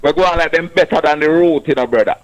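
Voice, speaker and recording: A man with a Jamaican accent calling a radio show, chatting in an animated way in patois. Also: on the high side, fast talker. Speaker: radio caller.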